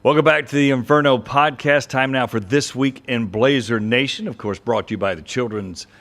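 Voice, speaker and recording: A man's voice: mid-range voice, normal speed, fairly bright tone. An American man hosting a sports radio podcast, interviewing and presenting.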